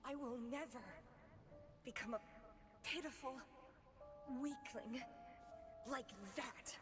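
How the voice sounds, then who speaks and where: A woman's voice: high-pitched, slow. A woman playing a proud, obsessive young woman fighter in a video game, speaking in a dramatic, introspective monologue. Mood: bitter, anguished. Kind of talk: video game character acting. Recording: music under the voice.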